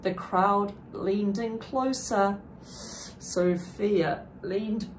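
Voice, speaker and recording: A woman reading a rhyming picture book aloud expressively, with deliberate dramatic emphasis. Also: mid-range voice, a little hiss or hum, poor audio. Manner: deliberate, dramatic emphasis with brief explanatory asides. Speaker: children's book reader.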